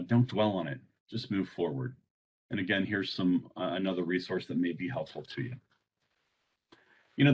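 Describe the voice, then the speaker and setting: A man presenting an informal training on a conference call, speaking in a halting way. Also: lowish voice, husky, low-quality recording.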